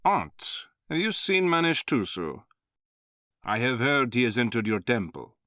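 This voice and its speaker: Scheming prince villain for a visual novel: unhurried, measured, sneering menace, close dry sound. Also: male voice, medium pitch, very animated, pushed and bright, no echo. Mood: mocking, accusing. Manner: measured, mocking, threatening. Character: scheming, arrogant young noble prince, menacing nephew. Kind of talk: dramatic visual novel acting.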